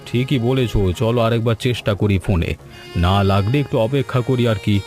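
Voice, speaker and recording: Guy voicing worried earnest village man in a cartoon, medium pitch, music behind. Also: fairly quick, a little mellow, natural voice. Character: anxious village man fretting over his family. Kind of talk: Bengali animated story dialogue.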